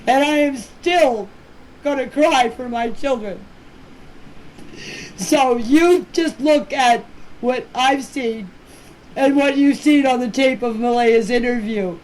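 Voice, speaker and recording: Woman in her 50s, highish voice, really slow n earnest, plain talk into a phone, bit of noise behind. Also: a little mellow, poor audio. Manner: plainspoken, earnest, emphatic, repeats herself for stress. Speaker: community member speaking about a case. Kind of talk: informal personal voice messages. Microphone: phone voice message.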